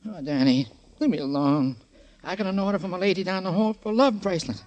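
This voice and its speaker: An actor playing a nervous, pleading small-time ex-informer who stammers and repeats himself in an old radio crime drama. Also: male voice, high voice, fast, darkish tone, muffled recording, natural voice. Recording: old radio broadcast with music.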